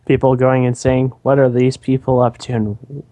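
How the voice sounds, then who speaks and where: A man's voice: medium pitch, dark tone. A man casually bantering as a gameplay co-host, with playful, goofy interjections. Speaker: let's play co-host. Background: game music.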